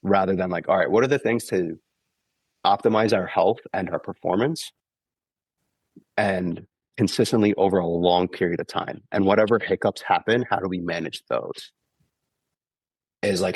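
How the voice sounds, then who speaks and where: A man's voice: low voice, normal speed, pauses often, breathy edge, no room sound. A man recovering from the flu, sounding congested, explaining running injuries patiently on a podcast and often checking in with "okay?". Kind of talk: casual explanatory podcast talk.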